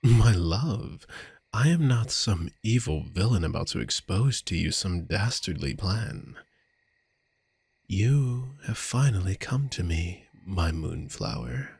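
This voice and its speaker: A man playing an obsessive, lovestruck vampire in an intimate audio roleplay, courtly and menacing, with florid old-fashioned phrasing and a husky, gravelly voice. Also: low voice, no room sound, exaggerated voice. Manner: slow, florid, archaic courtly speech. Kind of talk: scripted romantic audio roleplay, intimate monologue.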